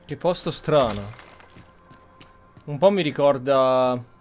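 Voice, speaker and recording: An Italian man commentates his game as a streamer. He speaks casually, slipping between remarks and roleplay narration, at a middling pitch. Faint game music runs underneath. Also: expressive, slow. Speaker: gameplay streamer.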